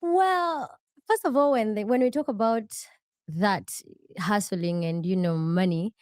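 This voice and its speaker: A woman's voice: mid-range voice, measured pace, a little mellow, no echo, clean studio sound. Hesitant woman guest with mid-range voice. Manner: hesitant, restarts, apologetic. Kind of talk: casual interview answer.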